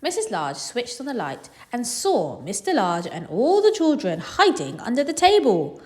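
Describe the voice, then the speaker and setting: Animated woman reading a children's story. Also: fairly high pitch, normal speed. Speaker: children's story reader and host. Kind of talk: expressive storybook narration for children.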